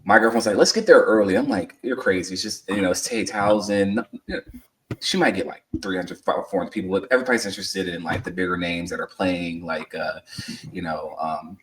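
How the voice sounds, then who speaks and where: A man's voice: low voice, a little fast, distant mic, slight background noise, low-quality recording. A man in his thirties co-hosting a sports podcast, telling stories in a rambling way with lots of fillers. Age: 30s. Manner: rambling, filler-heavy storytelling. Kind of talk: casual podcast chat.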